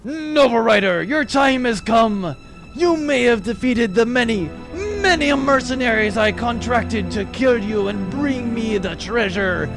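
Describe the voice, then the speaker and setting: A man's voice: very high-pitched, unhurried, poor audio. A man voicing a blustering, vengeful alien barracuda pirate villain in a comic audio adventure, with theatrical menace. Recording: loud music behind the voice.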